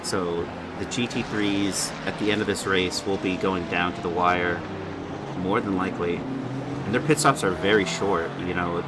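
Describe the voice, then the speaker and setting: Male race commentator, very low pitch, conversational and off-the-cuff; noisy mic with a loud hum. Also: fairly even intonation, measured pace. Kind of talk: casual sim racing commentary.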